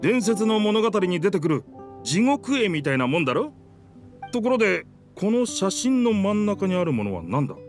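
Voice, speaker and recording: Game role: proud living pirate ship, hearty and theatrical, high-pitched with big swings, faint music. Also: male voice, very animated, moderate pace. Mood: blustering. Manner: boastful, theatrical, rough masculine speech. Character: talking pirate ship, proud boastful male, hearty and dense. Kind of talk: Japanese game dub dialogue. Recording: music under the dialogue.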